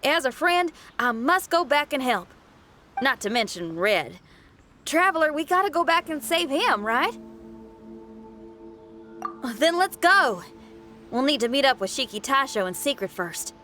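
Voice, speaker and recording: A woman's voice: sits high, sing-song, measured pace, long pauses, bright, forceful tone, soft background music, heavily acted. A woman voicing a bold, spirited young female street musician in a game, casual and direct in how she talks. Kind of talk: video game cutscene dialogue.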